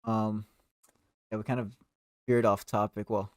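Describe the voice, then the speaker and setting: A man's voice: on the low side, flat delivery, a little slow, clear, resonant tone, no room sound, clean studio sound. Friendly young man, rambling podcast chat. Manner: rambling, friendly, steers the conversation. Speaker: podcast co-host. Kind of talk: casual chat.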